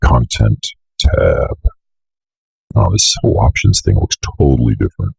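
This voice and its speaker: A man's voice: a bass voice, gravelly, darkish tone, breathy edge, no echo, rough recording. A young man giving a casual screen-share tutorial, rambling and thinking aloud as he works. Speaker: tutorial presenter. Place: indoors at a computer desk.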